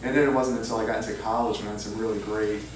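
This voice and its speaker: A man talks informally about his writing life in an anecdotal way. His voice is on the low side. The recording is very echoey, distant and clearly noisy. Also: steady tone, rapid-fire. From Louisiana, United States. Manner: self-deprecating, anecdotal. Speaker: author speaking at a book reading. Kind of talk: informal public talk with audience questions. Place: indoor humanities center event room. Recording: echoey, noisy hall, far from mic.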